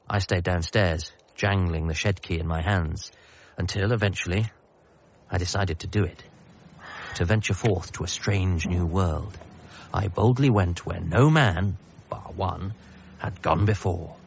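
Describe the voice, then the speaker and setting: An actor playing a wry, wistful British son, narrating a radio comedy drama in the first person with dramatic pauses. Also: male voice, sits low. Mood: wry, suspenseful. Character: bereaved ordinary son, wry and wistful storyteller. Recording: dull band-limited sound with music behind.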